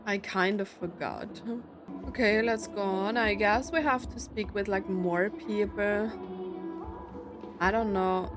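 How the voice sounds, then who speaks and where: A woman with a mid-range voice comments casually on a game she is playing. She speaks hesitantly and loosely, with lots of filler words, while music plays clearly behind her. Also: mid-range voice, takes it slowly. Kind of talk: casual let's-play commentary.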